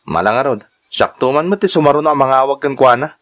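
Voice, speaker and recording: A man's voice: medium pitch, expressive, quick pace, fairly bright tone, no echo, lightly acted. A man playing an easygoing, reassuring elder brother in an Ilocano radio drama.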